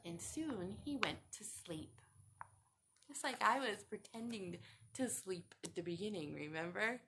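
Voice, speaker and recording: A woman's voice: mid-range voice, expressive, measured pace, noisy background. A woman reading a picture book aloud to young children, expressively and with questions to the listeners. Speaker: children's storytime reader.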